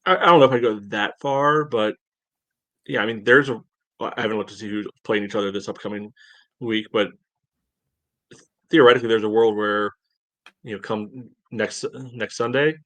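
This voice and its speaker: A man with a fairly low voice in a dry recording discusses sports as a podcast co-host. He speaks casually but carefully, weighing things up and qualifying his points as he goes. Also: fairly even intonation, bright, forceful tone, dry recording. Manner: measured, hedging, reasoning aloud.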